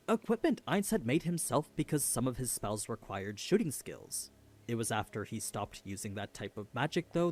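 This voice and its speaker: A man delivers scripted explainer narration. His pitch is lively and varied, moving up and down through each sentence as he lays out the details.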